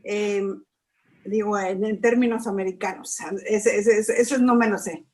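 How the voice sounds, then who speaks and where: A woman's voice: medium pitch, steady tone, moderate pace, darkish tone. A middle-aged woman speaking casually in a church discussion, thinking aloud with small fillers. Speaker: church class participant. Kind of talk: casual conversation.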